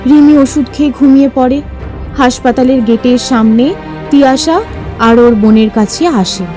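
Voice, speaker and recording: High-voiced woman narrating over music. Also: normal speed. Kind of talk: scripted story narration. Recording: music clearly under the voice.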